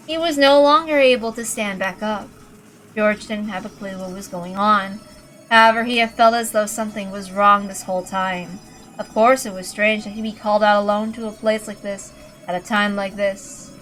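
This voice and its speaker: A woman's voice: mid-range voice, fairly bright tone, rough recording. A woman reading a visual novel aloud as narrator, in a dramatic storytelling style. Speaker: narrator and reader. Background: faint background music.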